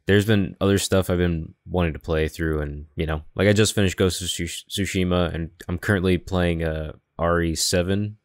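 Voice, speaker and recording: Male co-host, lowish pitch, very dry recording, informal and agreeable gaming chat. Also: steady tone, normal speed, few pauses, no echo. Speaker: podcast co-host. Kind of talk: casual chat about video games.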